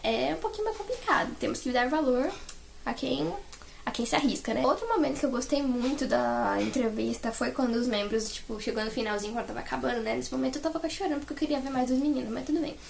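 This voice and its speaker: A young woman with a Brazilian Portuguese accent chatting casually as a fan, in a gushing, animated way. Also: sits high, fast, gravelly, slight background noise. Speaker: fan vlogger. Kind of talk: casual chat recounting favourite moments.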